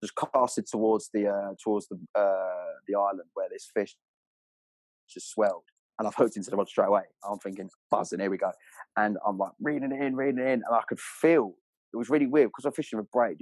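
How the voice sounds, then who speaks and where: A man's voice: lowish voice, dry recording, poor audio. A man telling stories casually as a podcast guest, in a matey, slangy way with plenty of swearing. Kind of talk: casual animated storytelling.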